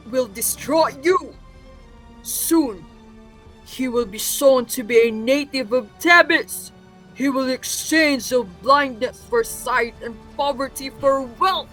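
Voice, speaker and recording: A performer playing a grave, defiant blind prophet in a readers' theatre tragedy, speaking deliberately and with foreboding. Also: high voice, faint music behind, rough recording. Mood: ominous. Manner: deliberate, ominous.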